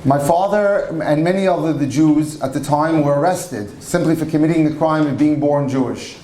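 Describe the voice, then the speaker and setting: A man's voice: fairly high pitch, normal speed, dark tone, a little hiss or hum. Older man speaking in an echoey hall. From Egypt. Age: 60s. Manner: storytelling, conversational with Hebrew terms. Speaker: rabbi and lecturer. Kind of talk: personal talk to an audience. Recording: echoey hall with faint ambience.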